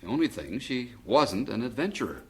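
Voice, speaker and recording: A man playing a fond, wry middle-aged father in a vintage radio drama, speaking gently and philosophically. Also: medium pitch, sing-song, fairly quick, breathy edge, exaggerated voice. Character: fond widowed father, stock investor.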